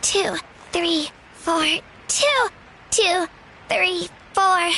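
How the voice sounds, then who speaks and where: A woman's voice: extremely high pitch, extremely slow, bright, forceful tone, a little hiss or hum, full character voice, poor audio. A woman voicing a plucky, modest young girl fighter in a video game, girlish and earnest. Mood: eager. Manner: earnest, sweet, eager. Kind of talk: video game character dialogue. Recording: music playing behind.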